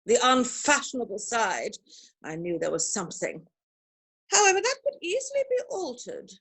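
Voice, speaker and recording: A woman plays a haughty Victorian matriarch from a stage comedy. Her voice is high and husky, and it swoops grandly as she pronounces on everything with pompous, snobbish certainty. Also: very animated, a bit bright, full character voice, rough recording. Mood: disapproving. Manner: pompous, haughty, emphatic, deliberate delivery. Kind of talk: theatrical comedy of manners reading. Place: virtual performance from home in isolation.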